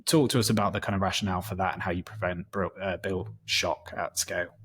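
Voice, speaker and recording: A man's voice: low-pitched, steady tone, fast, a bit bright, breathy edge. A man interviewing a guest in a conversational style, setting up his questions as he goes.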